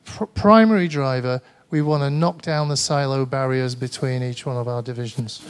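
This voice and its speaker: A man's voice: mid-range voice, moderate pace, smooth. A man with a Mancunian English accent gives a tired, conversational conference talk and answers audience questions, often hesitating and restarting. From Manchester, England. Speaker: conference speaker. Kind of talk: presentation with audience Q&A.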